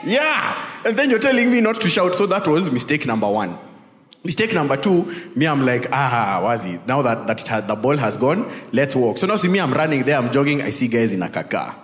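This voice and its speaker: The sound is echoey, with the long reverb of a large hall. A man with a Kenyan accent preaches at a medium pitch. His sermon is conversational and informal, built like personal storytelling. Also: very animated, brisk, a bit bright. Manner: informal, peppered with tag questions and Swahili asides.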